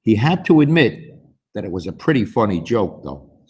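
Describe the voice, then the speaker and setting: A man in his sixties with a husky voice, reading a picture book aloud to young children in an expressive, unhurried way. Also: lowish voice. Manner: expressive, unhurried, with emphatic swings. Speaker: story time host reading aloud. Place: school library, indoors.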